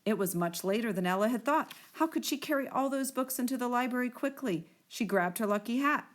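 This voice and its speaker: A woman reading a picture book aloud to children in an expressive storytelling style. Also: fairly high pitch, fairly quick.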